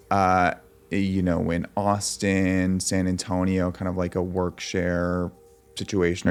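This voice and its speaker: A man interviewing a job applicant on a casual call, friendly, with quick restarts and fillers. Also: deep voice, darkish tone, smooth. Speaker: interviewer posing as an employer. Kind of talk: casual video call conversation.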